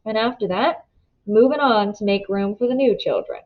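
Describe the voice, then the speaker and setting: A woman's voice: medium pitch, normal speed, narrow, tinny audio, natural voice. A woman voicing an earnest, weary older rural father in a storybook, pleading in folksy country phrasing. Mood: somber, resigned. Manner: folksy country grammar, urgent and philosophical.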